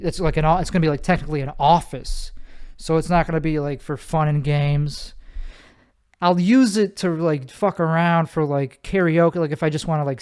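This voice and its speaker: A man's voice: fairly high pitch, darkish tone, clear, resonant tone. A man hosting his own solo podcast from his bedroom, with a nasal voice, rambling casually and correcting himself often. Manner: rambling, self-correcting, many fillers. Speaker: podcast host.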